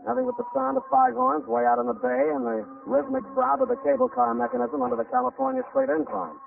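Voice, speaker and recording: An actor playing a hard-boiled private detective, narrating with wry, deadpan American delivery in a 1940s radio drama. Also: male voice, very high-pitched, quick pace, vocal fry, recorded from a distance, full character voice. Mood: weary, wry. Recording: muffled, noisy 1940s radio recording.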